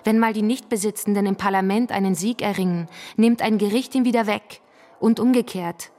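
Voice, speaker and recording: A woman's voice: mid-range voice, steady tone, brisk, mellow, lightly acted. An actress playing a fervent revolutionary woman in a German radio drama, arguing in a scornful, didactic way. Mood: scornful, insistent. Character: fervent, combative revolutionary woman defending the workers' councils. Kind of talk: German radio drama dialogue, polemical debate.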